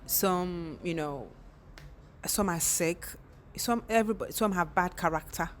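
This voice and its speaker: A woman's voice: lowish voice, unhurried, a little hiss or hum. A young woman speaking candidly in a personal vlog, reflective, with occasional stammers and restarts.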